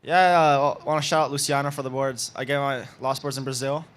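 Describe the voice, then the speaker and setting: Fast-talking young-sounding surfer man from Panama. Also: medium pitch, steady tone, fairly bright tone. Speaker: competitive surfer being interviewed. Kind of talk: casual surfer chat.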